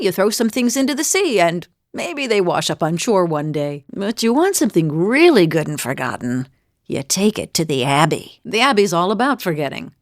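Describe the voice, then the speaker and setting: A woman's voice: lowish voice, no room sound, clean studio sound. A woman voicing a bold, teasing female fighter and wanderer in a fantasy video game, with a wry, conversational delivery. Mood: wry, friendly.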